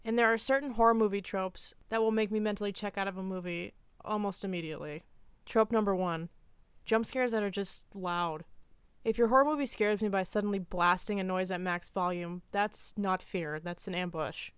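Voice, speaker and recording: A woman video essayist with a clean, smooth voice and a very dry recording free of room sound. She reads scripted opinion commentary in a deadpan way, leaning firmly on her key phrases. Her pitch sits around the middle for a woman. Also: moderate pace, no echo.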